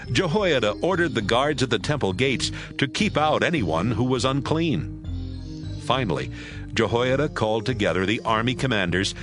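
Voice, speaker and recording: A man narrating scripture as a dramatic, scripted audio Bible reading. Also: mid-range voice. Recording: music bed behind voice.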